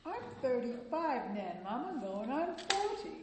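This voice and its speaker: A woman's voice: high voice, sing-song, a little slow, background noise. A woman playing a blunt, bitter unmarried granddaughter in a stage play. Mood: sullen. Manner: curt, sullen, sharp-tongued. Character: blunt, bitter spinster granddaughter in her thirties. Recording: echoey hall.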